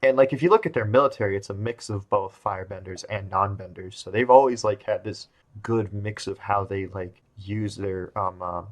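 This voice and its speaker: A man's voice: on the low side, moderate pace. A man hosting a casual group discussion, thinking aloud with lots of fillers. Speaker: podcast host.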